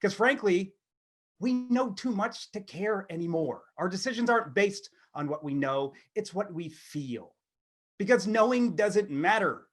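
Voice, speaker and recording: A man in his thirties with a somewhat high voice. He talks like a comedy podcast co-host, wry and self-deprecating, with a halting, casual delivery. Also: animated. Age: 30s. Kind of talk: casual comedic monologue and chat.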